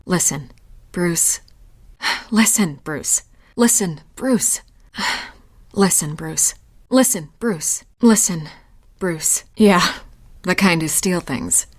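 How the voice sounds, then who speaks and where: A confident, ambitious reporter in a naturalistic game performance. Her voice is fairly low, and she talks in a knowing, wry, conversational way that keeps probing. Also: very slow, pauses often. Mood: hushed, earnest. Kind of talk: naturalistic video game voice acting.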